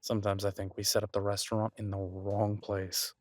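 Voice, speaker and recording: A man's voice: lowish voice, monotone, brisk, no echo, close to an everyday voice. A man playing an exasperated yet affectionate chef boyfriend in a roleplay audio, ranting incredulously and conversationally to his partner. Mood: exasperated, bemused. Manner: ranting, incredulous, emphatic with repeated words. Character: exasperated but affectionate human boyfriend, restaurant chef for supernatural guests.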